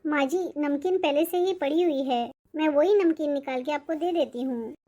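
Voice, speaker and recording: Woman voicing young mother, cartoon family drama, very high pitch, mellow tone, aggrieved, polite. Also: normal speed, lo-fi sound, natural voice. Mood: polite, dutiful. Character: put-upon, resentful young daughter-in-law and mother.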